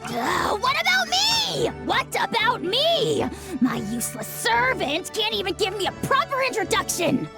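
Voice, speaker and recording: A tiny self-styled dragon lord from a video game. The voice is extremely high and drawn out, pompous and bratty, with music clearly behind it. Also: female voice, huge pitch swings, measured pace, full character voice. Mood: indignant. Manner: pompous, haughty, loud. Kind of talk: animated video game acting.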